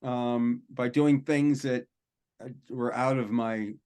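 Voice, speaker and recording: A man, a rock and metal singer, telling stories from his life in a relaxed, rambling, self-deprecating way during a podcast interview. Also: mid-range voice, takes it slowly, clear, resonant tone. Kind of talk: casual interview storytelling.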